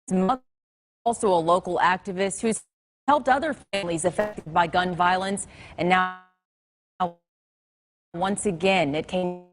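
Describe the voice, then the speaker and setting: An American woman delivering a scripted television news report as a field reporter. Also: lowish voice.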